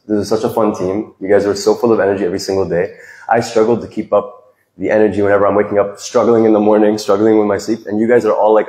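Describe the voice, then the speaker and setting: A man's voice: on the low side, warm tone. Earnest young man speaking in echoey hall. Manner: earnest, reflective, speaks from the heart with small restarts. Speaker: volunteer giving a farewell speech. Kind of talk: heartfelt speech to an audience. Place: large decorated hall with stage. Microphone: handheld microphone, recorded from the audience.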